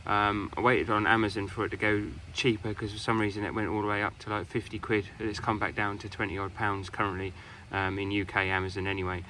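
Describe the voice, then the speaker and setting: Man, British accent, lowish voice, informal halting delivery, casual product review, slightly noisy recording. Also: little pitch movement, bright, forceful tone.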